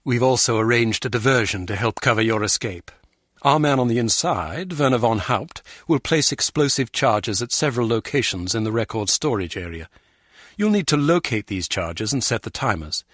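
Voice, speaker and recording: An actor playing a stern, condescending spy agency superior in a video game, speaking in a formal, clipped manner. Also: male voice, mid-range voice, animated, moderate pace, a bit bright, dry recording, clean studio sound. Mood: businesslike. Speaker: spymaster giving mission briefing. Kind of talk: video game voice acting, scripted briefing.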